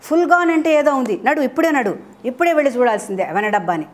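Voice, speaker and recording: A woman's voice: high-pitched, animated, fast talker, voice pushed up. A woman voicing a suspicious, bossy cat in a children's story, questioning and insistent. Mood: insistent, accusing.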